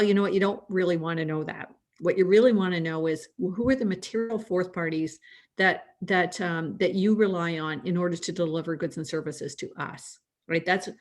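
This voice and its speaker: A Canadian woman speaking as a guest expert, chatty and explaining in a casual conversational way. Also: lowish voice. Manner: chatty, laughs easily, restarts often. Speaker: risk management guest.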